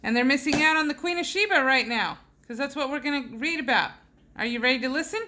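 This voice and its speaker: Bright-voiced mother coaxing her child. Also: high-pitched, fast. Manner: gentle questioning, coaxing a child. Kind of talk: chatting with her children before a family reading.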